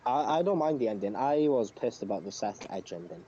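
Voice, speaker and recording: Muffled lo-fi sound, male co-host with an average-pitched voice chatting casually. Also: lively intonation, fairly quick, warm tone, slight background noise. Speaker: podcast co-host. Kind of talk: casual chat. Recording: dull lo-fi voice audio.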